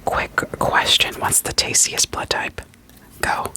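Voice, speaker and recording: A woman doing a soothing ASMR roleplay as a therapist, whispering gently probing questions in a playful way and repeating short phrases. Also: slow pace.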